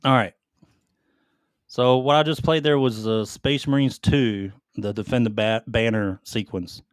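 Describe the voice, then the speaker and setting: A man's voice: medium pitch, steady tone, unhurried, smooth, no room sound. Casual man podcasting, dry close sound. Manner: profane, slangy, enthusiastic. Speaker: podcast co-host. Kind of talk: casual chat about video games.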